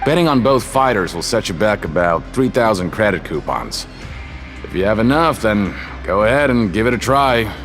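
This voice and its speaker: A man's voice: fairly low pitch, animated, normal speed, fairly bright tone. An actor playing a shifty, folksy ticket seller at a fight ring in a video game, with a casual, confiding, hedging salesman's manner. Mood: warning. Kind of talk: video game character acting. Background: music.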